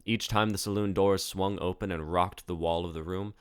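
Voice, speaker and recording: A man's voice: sits low, fairly even intonation, normal speed, clear, resonant tone, no echo, clean studio sound. A man reading a story aloud as its author, in scripted narration.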